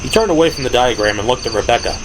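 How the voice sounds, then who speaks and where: Guy narrating an audio drama, mid-pitched voice, crickets and noise behind him. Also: animated, rapid-fire. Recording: noisy, night insect ambience behind. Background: crickets and ambient sound effects.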